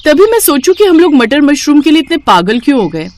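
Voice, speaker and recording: A woman's voice: sits high, big pitch swings, fairly quick, a little mellow, pitched above the performer's own voice. A woman voicing a practical, knowing elder sister-in-law in a cartoon story, composed and matter-of-fact.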